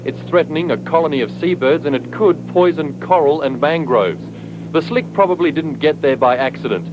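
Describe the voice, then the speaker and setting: A man's voice: fairly high pitch, normal speed. A man reporting a television news story in a plain, conversational way. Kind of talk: scripted news report voice-over. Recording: dull old broadcast tape with steady hiss.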